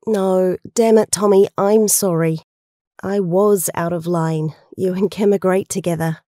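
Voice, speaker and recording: Mellow-voiced earnest young heroine played by a woman. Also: fairly low pitch, fairly even intonation, a little slow, no room sound, clean studio sound, lightly acted. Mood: apologetic. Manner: earnest, pleading. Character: Kat, lovelorn, outspoken young female hero. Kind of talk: amateur audio drama acting.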